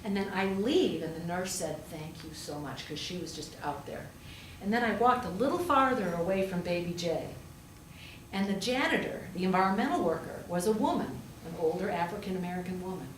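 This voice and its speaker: A woman's voice: fairly low pitch, animated, brisk. Woman preaching in a roomy space. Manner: conversational, with rhetorical questions and emphatic repetition. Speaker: pastor preaching, also a hospital chaplain. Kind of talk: storytelling sermon. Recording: roomy, distant pickup with faint noise.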